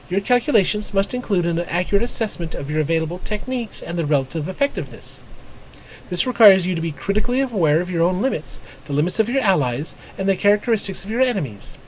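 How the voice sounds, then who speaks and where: A man's voice: high voice, a little hiss or hum, pitched above the performer's own voice, low-quality recording. A man voicing an analytical guild tactician from a game, reading the dialogue in a formal, precise, lecturing way.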